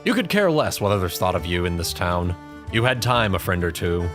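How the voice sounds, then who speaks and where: A man's voice: low voice, very animated, fairly quick, fairly bright tone, faint music behind. A man narrating a scripted story in the second person.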